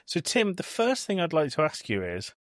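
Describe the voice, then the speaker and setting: A man's voice: fairly high pitch, wildly swooping pitch, normal speed, no echo, clean studio sound. A man in his thirties with a British accent, hosting a podcast in casual conversation. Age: 30s. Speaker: podcast host.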